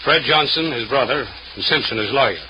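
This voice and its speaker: An actor playing an obliging, matter-of-fact prison warden in an old radio mystery. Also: male voice, medium pitch, brisk, sharp tone, rough recording. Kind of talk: 1940s radio mystery acting. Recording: hissy old-time radio recording.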